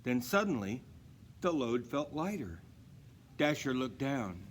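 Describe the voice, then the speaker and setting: A man reading a children's story aloud in an expressive narrating style. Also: medium pitch, slow pace, a little hiss or hum. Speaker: storybook reader.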